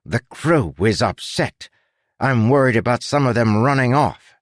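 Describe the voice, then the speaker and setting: A man's voice: medium pitch, expressive, measured pace, dry recording, studio quality, pitched above the performer's own voice, close to an everyday voice. A man voicing a rat who plays an aristocratic pirate captain, with a posh accent and a pompous, declamatory manner.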